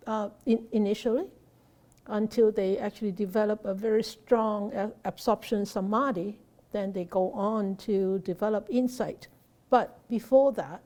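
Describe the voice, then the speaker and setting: Woman Buddhist teacher, mellow tone, unscripted, halting delivery with fillers, rhetorical questions. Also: mid-range voice. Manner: hesitant, many fillers and restarts.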